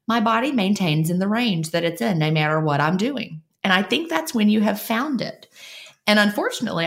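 Gravelly-voiced woman podcast host. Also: fairly low pitch. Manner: encouraging, affirming. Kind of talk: casual interview chat.

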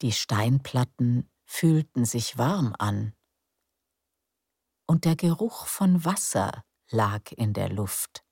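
A woman narrating a calm bedtime story in a slow, soft, soothing way. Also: very deep voice, husky, dry recording, clean studio sound.

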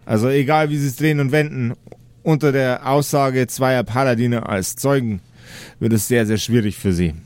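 A man's voice: mid-range voice, fairly even intonation, moderate pace, darkish tone, dry recording, natural voice. A man voicing a stern, sceptical paladin guard who speaks formally and matter-of-factly in a tabletop game. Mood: stern, official.

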